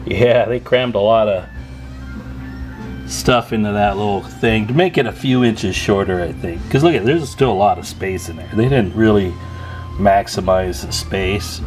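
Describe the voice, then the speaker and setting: A man's voice: on the low side, normal speed, darkish tone, soft background music. A man hosting a hobby video, talking through a hands-on demonstration in an informal, chatty, slangy way. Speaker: host of a CB radio repair video.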